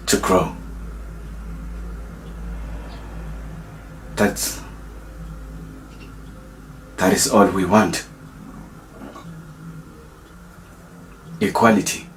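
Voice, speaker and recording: A man acting a defiant, resolute young freedom fighter on trial in a dramatic monologue, speaking deliberately with long pauses. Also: low-pitched, a little hiss or hum, low-quality recording. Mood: solemn, yearning. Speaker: actor.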